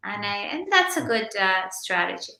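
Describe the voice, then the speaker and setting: A woman in her forties, a naturopathic doctor, explaining health topics in a measured way during a casual podcast chat. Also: medium pitch, sing-song, gravelly, bright, forceful tone, echoey room. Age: 40s. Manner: explanatory, measured, occasionally hesitant.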